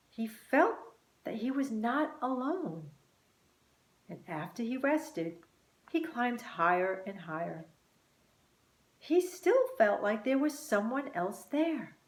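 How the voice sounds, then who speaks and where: A woman reading a children's story expressively and deliberately, with dramatic pauses. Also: a little high. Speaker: author and teacher reading own book. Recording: roomy, echoing room sound.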